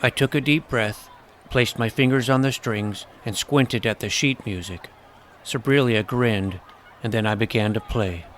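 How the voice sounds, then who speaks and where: A man playing an earnest young hero who tells his own fantasy adventure in measured, literary first-person narration. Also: medium pitch, background noise, natural voice. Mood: measured storytelling. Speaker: narrator and lead character. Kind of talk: first-person scripted storytelling, audio drama. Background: music under the voice.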